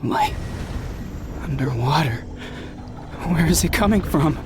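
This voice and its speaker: An actor voicing a frightened, afflicted warrior lost in darkness, pleading in faint, halting, breathy words. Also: medium pitch, very animated, over music. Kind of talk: video game voice acting.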